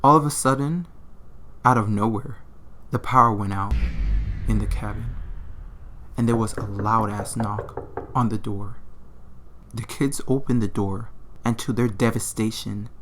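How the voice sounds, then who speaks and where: Guy reading a funny horror story, slangy and crude, sharp bright voice. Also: lowish voice, measured pace, pauses often, a little hiss or hum. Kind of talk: informal comedic horror story narration.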